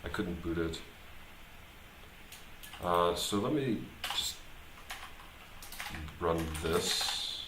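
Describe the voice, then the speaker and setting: A man thinking aloud as he live-streams his programming work, talking casually and haltingly, muttering to himself as he goes. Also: low voice, lively intonation. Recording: roomy echo, clear background noise, typing.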